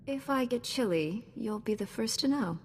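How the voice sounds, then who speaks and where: A woman's voice: a little high, lively intonation, normal speed, a little hiss or hum. An actress playing a young, composed female Jedi hero, cool and self-assured, in a video game. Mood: cool, inquiring. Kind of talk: scripted game dialogue.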